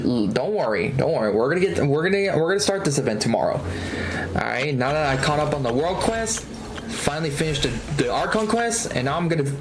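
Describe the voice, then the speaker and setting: Animated woman streamer over clear music. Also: sits low. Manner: slangy, rambling, frequent exclamations. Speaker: livestream host, gamer. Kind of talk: casual gaming livestream chat.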